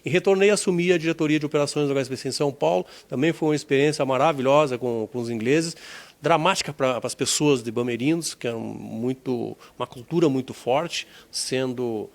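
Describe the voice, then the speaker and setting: A man's voice: medium pitch, fairly quick, vocal fry. A middle-aged man from Brazil, an insurance executive looking back on his career in an oral history interview, reflective and leaning on key words. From Rio Grande do Sul, Brazil.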